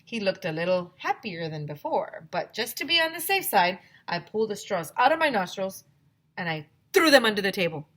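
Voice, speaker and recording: A woman teacher reading a children's book aloud in an expressive, theatrical storytime style. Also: medium pitch, fairly quick.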